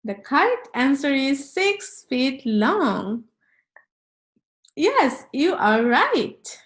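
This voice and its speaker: Slow, animated woman reading to children. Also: sits high. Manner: deliberate, instructional, addressing young listeners directly. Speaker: children's read-aloud host and quiz teacher. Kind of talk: storybook read-aloud and quiz for children.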